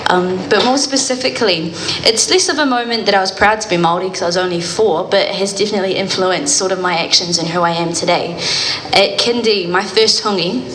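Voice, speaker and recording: Calm New woman in echoey hall. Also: fairly low pitch. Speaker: panelist. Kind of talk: reflective personal story at a panel. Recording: echoey hall, faint room noise.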